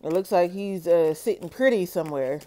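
A woman doing a solo tarot reading for her viewers, talking slowly and checking in with "okay?". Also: on the low side, warm tone. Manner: slow, measured, repeats "okay?" to viewers. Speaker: tarot reader.

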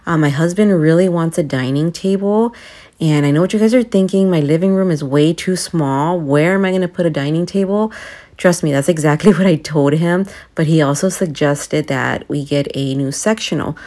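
A young woman with a low-pitched voice narrates her home vlog. She speaks in a casual, conversational style and confides in the viewer like a friend. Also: moderate pace, warm tone. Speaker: home and cleaning vlogger.

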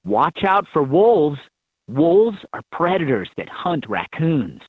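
A man reading scripted instructions for a children's learning game in an expressive, instructive manner. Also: fairly high pitch, slow pace, husky, no room sound. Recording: thin, phone-like lo-fi game audio.

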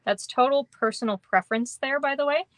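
A woman's voice: high voice, brisk, dry recording. A young woman with a Minnesotan accent teaching a card-making class on a live stream, chatting with viewers in a giggly, rambling way. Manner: chatty, giggly, lots of ums and kindas. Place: indoor craft room.

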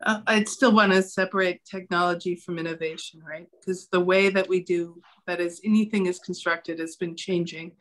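A woman's voice: on the low side, fairly even intonation, moderate pace, hardly any pauses. A middle-aged woman speaking as a panelist on a video call, explaining her view in a thoughtful, informal way.

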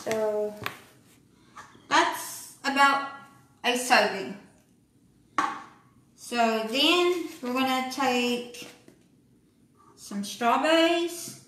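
A middle-aged woman giving a casual cooking demonstration in a small indoor room, speaking in a slow, plain, halting way, recorded on a handheld phone. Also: high voice, fairly bright tone. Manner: slow, plain, halting delivery with many pauses. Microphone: handheld phone camera. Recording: echoey room, faint background noise.

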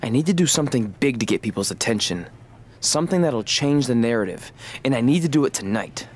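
A man's voice: medium pitch, a little fast, close to an everyday voice. A man voicing a determined young male wrestler in a video game, giving an earnest speech to the crowd. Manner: earnest, declamatory ring speech. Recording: game audio with steady background noise.

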